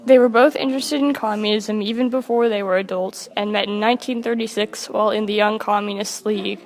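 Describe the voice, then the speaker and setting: A woman's voice: medium pitch, steady tone, a little fast. A woman reading scripted narration aloud as a student presenter.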